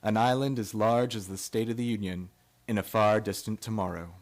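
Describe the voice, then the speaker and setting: An actor playing an ominous horror anthology host in old-time radio style, portentous and deliberate. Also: male voice, lowish voice, fairly even intonation, natural voice. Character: ominous male horror-anthology host introducing tonight's tale.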